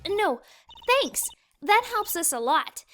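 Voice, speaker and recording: A woman's voice: very high voice, very animated, takes it slowly, fairly bright tone, heavily acted. A woman voicing a perky, naive, eager teenage girl assistant in a game fan dub, blunt and childlike, with music behind. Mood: earnest. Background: background music.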